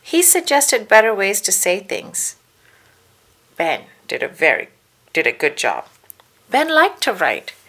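A woman narrating a children's storybook aloud with measured, deliberate phrasing. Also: medium pitch, fairly bright tone.

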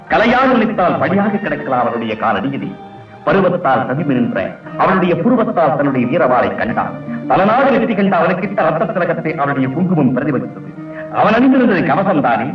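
A grand stage narrator who declaims poetic lines with sweeping swings of pitch. His voice sits high for a man and sounds far from the mic in a roomy space. Soft music plays under this old, muffled film soundtrack. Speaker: stage-play actor reciting. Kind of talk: florid theatrical recitation.

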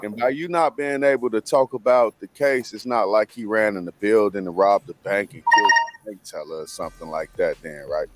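Low-voiced male podcast host. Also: fairly bright tone, slight background noise. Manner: probing, measured questions. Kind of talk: interview questions. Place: home studio room.

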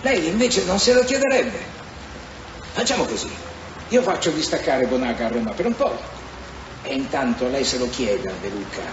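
A man's voice: mid-range voice, big pitch swings, normal speed, long pauses, lightly acted. A man playing a calculating, insinuating authority figure in a radio drama. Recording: echoey, muffled, noisy ambience.

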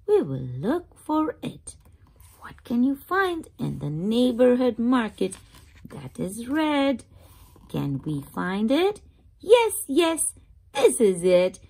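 A woman hosts a children's read-aloud in a high voice that swings expressively up and down. She takes the short, simple sentences slowly and clearly spaced, in a teacherly way, putting easy questions to young listeners. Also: a little mellow.

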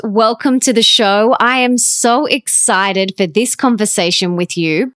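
A woman's voice: mid-range voice, dry recording, studio quality. A woman hosting a podcast, reading a scripted introduction with enthusiasm. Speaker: podcast host.

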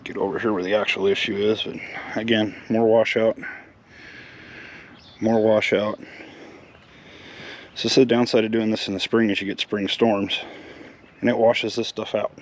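American man, middle-aged, fairly low pitch, casual plain-spoken vlog explanation, faint ambient noise. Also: flat delivery, normal speed, long pauses. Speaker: host of a lawn care vlog. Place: outdoors in a residential yard, later an open garage. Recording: clear outdoor ambient noise.

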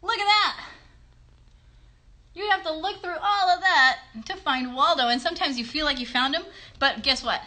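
A woman's voice: sits high, moderate pace, sharp tone. A woman in her thirties hosting a chatty children's livestream in an encouraging, child-directed way, recorded on a phone in a bedroom. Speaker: host, puppeteer. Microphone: phone at arm's length.

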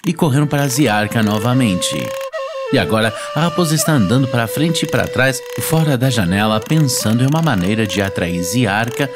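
A woman's voice: very deep voice, animated, brisk. A woman narrating a scripted children's story. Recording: music behind the voice.

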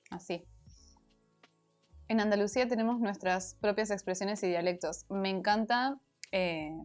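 A woman from Argentina with a Rioplatense accent, a former language teacher who chats casually with her chat on a crafting stream. She sounds a little congested from allergies. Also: mid-range voice. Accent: Rioplatense Argentine Spanish. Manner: chatty, explains at length, answers chat. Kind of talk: casual livestream chat reading comments while crafting.

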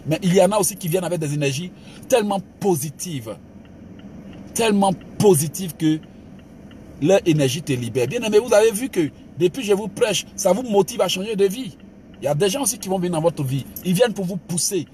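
Male pastor, fairly high voice, exhorting sermon style. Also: animated, brisk, mellow, a little hiss or hum. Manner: emphatic preacher, calls listeners "bien aimé", mixes exhortation with laughs. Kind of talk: motivational sermon.

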